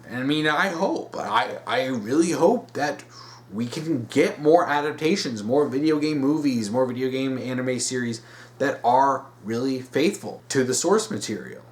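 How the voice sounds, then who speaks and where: A man hosting a solo opinion video, speaking off the cuff in an emphatic, halting way and repeating himself to stress his points. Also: medium pitch. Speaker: host of a weekly topic video series. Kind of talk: unscripted solo opinion commentary.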